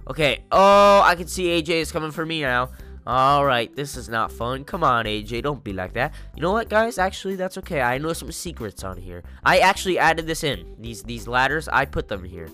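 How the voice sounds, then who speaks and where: A young man with a congested, stuffy voice giving excitable, jokey gameplay commentary to his viewers. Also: mid-range voice, normal speed, faint music behind. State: congested from a cold. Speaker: gaming YouTuber.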